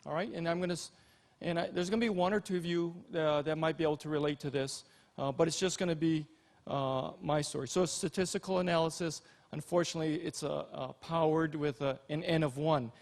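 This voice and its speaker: A middle-aged man from Hawaii giving an informal keynote as an Army general and physician, telling personal stories in a folksy, halting way. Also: on the high side, fairly even intonation, gravelly.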